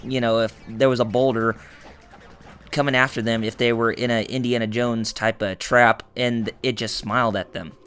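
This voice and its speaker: Male let's-play host, hoarse and raspy, chatty and rambling; bright tone. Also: mid-range voice, steady tone, moderate pace, soft background music. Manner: rambling, full of fillers and restarts, jokey. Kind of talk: gameplay commentary.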